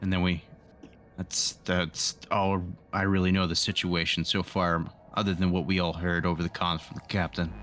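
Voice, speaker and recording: A man's voice: low voice, level tone, moderate pace, fairly bright tone. A man playing a tabletop roleplaying game, chatting casually and thinking aloud as he describes what his character does.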